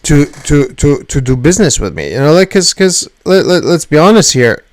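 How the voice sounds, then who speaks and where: A man's voice: on the high side, sing-song, normal speed. A man whose first language is not English, ranting at his webcam in a rambling way, swearing often and restarting his sentences. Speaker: vlogger. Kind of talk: rambling personal rant. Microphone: webcam at desk.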